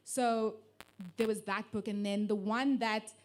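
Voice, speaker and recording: A young woman from Africa, speaking thoughtfully on a book panel with pauses and restarts. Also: mid-range voice, steady tone. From South Africa. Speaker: panelist, online book lover. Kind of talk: casual panel talk.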